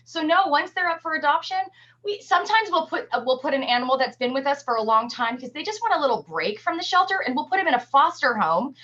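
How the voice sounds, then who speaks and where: A woman's voice: high-pitched, sharp tone. A woman working as an animal shelter educator, explaining things simply and encouragingly to children over a video call. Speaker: humane society educator. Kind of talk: virtual field trip talk to children. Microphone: computer on a video call. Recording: dull, lo-fi video call audio.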